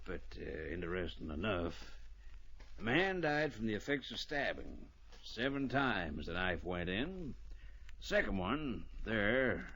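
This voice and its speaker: An actor playing a fussy, morbidly keen frontier doctor in an old radio western, muttering and repeating himself pedantically. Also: male voice, on the low side, fairly bright tone, breathy edge, exaggerated voice. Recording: dull old radio recording.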